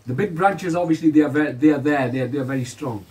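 A man's voice: fairly high pitch, quick pace, darkish tone. A man whose first language is Urdu, giving earnest advice at a home gathering, often repeating himself and asking if he is understood. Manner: earnest, repeats phrases, asks "you understand me?". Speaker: religious elder giving advice. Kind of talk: casual chat in a gathering. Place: carpeted room in a house, seated on the floor.

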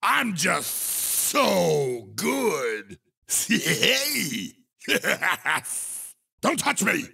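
A man voicing an evil, boastful komodo dragon racer in a cartoon video game, with a gravelly, raspy voice and exaggerated, gloating exclamations. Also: high-pitched, wildly swooping pitch, drawn-out words, bright, forceful tone, exaggerated voice. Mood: gloating, menacing. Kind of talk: video game character voice clips.

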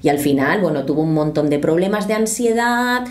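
A woman's voice: low voice, animated, a little fast. A woman, talking earnestly to camera from a small home studio and reading quotes aloud. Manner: earnest, explanatory, gestures with her hands. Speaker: youtuber commentator.

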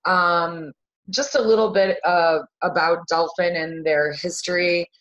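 A woman's voice: on the low side, steady tone, a little slow, a bit bright, lo-fi sound. A woman presenting a product webinar on a video call, chatty with frequent ums and uhs. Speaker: marketing manager presenting a webinar.

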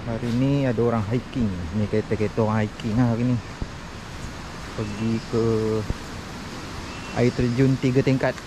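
Malaysian man, casual vlog narration, medium pitch, noticeable background noise. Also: fairly even intonation, moderate pace, pauses often. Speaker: family camping vlogger.

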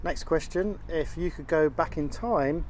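Middle-aged male host, a touch higher voice, easygoing and teasing, faint outdoor hiss. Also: measured pace, a little mellow. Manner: friendly, teasing asides. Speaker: interviewer, channel co-host. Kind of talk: casual interview questions. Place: outdoors in a leafy garden.

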